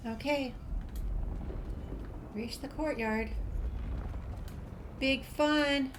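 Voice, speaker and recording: A woman's voice: sits high, extremely slow, background noise. A woman playing through a video game, reading dialogue aloud and adding casual asides. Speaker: gameplay streamer.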